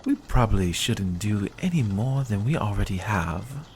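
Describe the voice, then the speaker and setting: An actor playing a sly, centuries-old vampire in an ASMR roleplay, speaking in a hushed, deliberate, guarded way. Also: sits low, lively intonation, slight background noise. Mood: curious.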